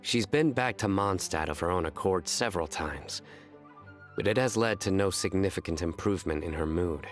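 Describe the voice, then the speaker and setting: A man voicing a stern young man in a video game, terse and deadpan. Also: low-pitched, moderate pace, close to an everyday voice. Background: game music.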